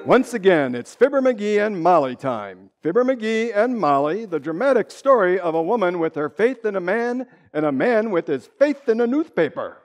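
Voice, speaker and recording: Guy doing a melodramatic vintage radio announcer, big swoopy pitch, lots of echo. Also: very animated, normal speed, hardly any pauses. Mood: grandiose. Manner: dramatic, declamatory. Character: grand, melodramatic golden-age radio announcer. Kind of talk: 1930s-40s radio announcing. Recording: long echoing reverb.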